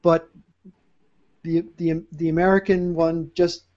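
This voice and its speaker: Mellow chatty man, lo-fi sound. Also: fairly high pitch, flat delivery, slow. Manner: rambling, chatty, many fillers and restarts. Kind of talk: casual chat.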